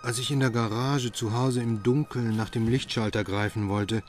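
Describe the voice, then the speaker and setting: An actor playing a troubled doctor and father who narrates his story in a radio drama, subdued and measured. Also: medium pitch, fairly even intonation, soft background music, natural voice. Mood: brooding.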